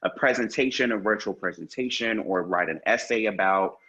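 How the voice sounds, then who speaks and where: A man's voice: fairly low pitch, a little fast, pushed and bright. A man who teaches the arts, talking earnestly as a podcast guest and filling his speech with "you know.". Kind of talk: casual conversation. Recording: dull lo-fi sound.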